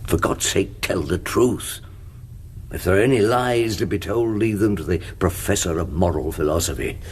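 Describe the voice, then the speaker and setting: A man's voice: sits low, moderate pace, slight background noise, natural voice. A man playing a wry, world-weary older English barrister in a radio drama, speaking and narrating in a dry, droll, literate way. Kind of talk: radio drama dialogue and first-person narration.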